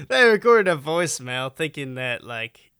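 Male co-host, bright clear voice, unhurried casual comedy banter, dry close mic. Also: on the high side, very animated, no echo. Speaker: podcast co-host.

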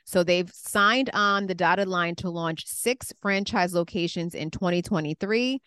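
Woman, segment presenter, lowish voice, dry studio sound. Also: measured pace, fairly bright tone, clear, resonant tone, no room sound. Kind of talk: casual news segment.

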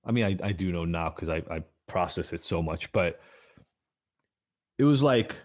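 Candid low-voiced man in his forties. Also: expressive, moderate pace, darkish tone. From Israel. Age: 40s. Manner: candid, rambling, swears freely. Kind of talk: casual conversation.

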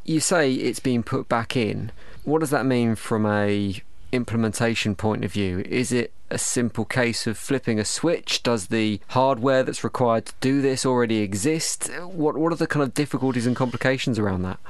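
A man's voice: medium pitch, moderate pace, hardly any pauses, no room sound. A middle-aged man hosting a podcast, asking questions in a conversational interviewing style.

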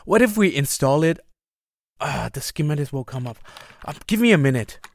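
A man's voice: a little high, expressive, moderate pace. An actor playing an eager, tentative tech-minded male revolutionary in a naturalistic audio drama.

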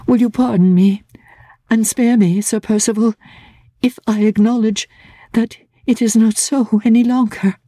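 A woman voicing an anxious, earnest young gentlewoman in a classic-novel audiobook, speaking haltingly and repeating her words. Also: a little high, fairly even intonation, dry recording, voice pushed up. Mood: pleading, earnest.

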